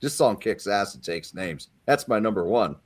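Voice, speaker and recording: A man's voice: on the low side, animated, moderate pace. A man in his fifties, a panelist on a casual livestream music chat, enthusiastic and jokey. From Pennsylvania. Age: 50s.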